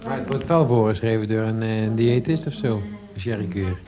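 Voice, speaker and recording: A man's voice: lowish voice, fairly quick, crowd noise. A man asking casual questions from the audience of a discussion, on a noisy, muffled recording.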